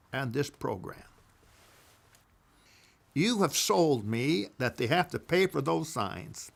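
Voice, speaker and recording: An older man telling a personal story from his past, emphatic, swinging between loud declarations and hushed asides. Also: medium pitch, gravelly.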